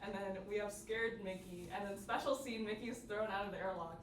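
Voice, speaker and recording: A woman's voice: mid-range voice, a little fast. A young woman giving a casual, chatty student workshop presentation. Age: young adult. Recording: echoey, noisy room, distant mic.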